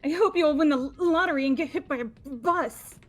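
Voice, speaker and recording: A woman acts out a gloomy, resentful girl from a video game. Her voice is high and whiny, heavy with self-pity, with faint music underneath. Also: fairly quick, natural voice. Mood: bitter self-pity. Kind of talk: fan-dubbed video game character voice.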